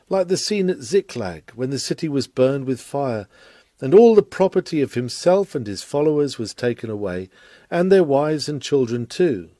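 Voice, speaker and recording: Mellow man reading a sermon. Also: mid-range voice, dry recording. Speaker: reader of a sermon.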